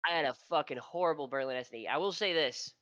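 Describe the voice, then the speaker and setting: Male co-host, nasal and stuffy, sharp tone, dull lo-fi sound, swears and rambles. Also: a little high, quick pace, no echo. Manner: profane, rambling storyteller. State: stuffy from allergies. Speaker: podcast co-host. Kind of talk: casual esports podcast chat.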